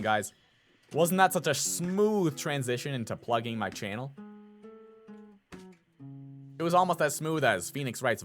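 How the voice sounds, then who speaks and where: Animated male gamer with playful commentary. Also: medium pitch. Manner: joking, self-promoting. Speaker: YouTube host and voice actor. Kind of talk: casual playful game commentary.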